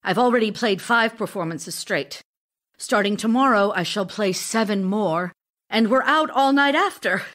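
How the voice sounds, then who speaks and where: Casting: woman as restless, effusive stage-actress wife for radio play, medium pitch, theatrical and teasing. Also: expressive, normal speed, fairly bright tone, close to an everyday voice. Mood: keyed up, affectionate. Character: vivacious, self-dramatizing actress wife, devoted yet restless. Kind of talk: audio drama acting, reading love letters.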